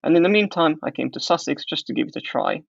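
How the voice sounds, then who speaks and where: A man's voice: fairly high pitch, animated, fast, creaky, no room sound. A young man with a Hungarian accent, telling his story thoughtfully as an interview guest and often restarting his sentences. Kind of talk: conversational interview answer. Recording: muffled dry audio.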